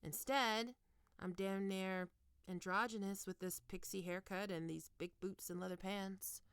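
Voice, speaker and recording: A woman playing a stern, teasing goth college professor in an ASMR roleplay, speaking deliberately and condescendingly into a close microphone. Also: mid-range voice, fairly even intonation, clear, resonant tone, no room sound, lightly acted. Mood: probing, taunting. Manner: condescending, taunting, deliberate with pauses. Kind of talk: ASMR roleplay, scripted monologue to listener.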